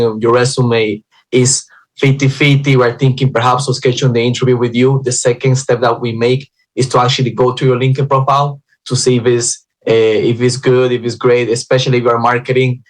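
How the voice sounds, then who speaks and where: A man who works as a recruiter at a staffing agency, giving practical advice on a panel in a steady, advising way. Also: mid-range voice, low-quality recording. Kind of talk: panel discussion.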